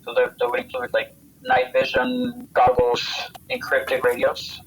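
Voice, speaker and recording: Bright-voiced American man, halting delivery. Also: high voice, wildly swooping pitch, creaky, slight background noise, rough recording. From United States. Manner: halting, repeats words mid-sentence. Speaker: military veteran interviewee. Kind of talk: spontaneous interview account.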